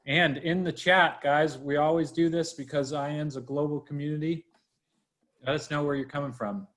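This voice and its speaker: A man's voice: a little high, steady tone, moderate pace, fairly bright tone. Upbeat male livestream host. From Boston, USA. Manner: upbeat, chatty, addresses viewers directly. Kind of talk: livestream interview.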